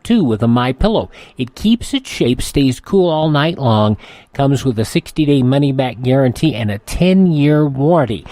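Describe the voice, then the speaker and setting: A man's voice: medium pitch, darkish tone, no room sound. An American man reading scripted announcements and ads as a radio host.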